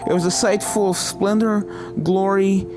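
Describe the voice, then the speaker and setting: A woman's voice: mid-range voice, steady tone, moderate pace. Woman narrating a story over music. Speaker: fan fiction narrator. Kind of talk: scripted dramatic story narration.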